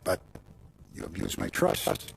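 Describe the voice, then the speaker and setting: An actor playing an older male mafia don, authoritative, deliberate, paternal and quietly menacing, in a video game. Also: over music, close to an everyday voice. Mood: stern, measured. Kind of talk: video game cutscene acting.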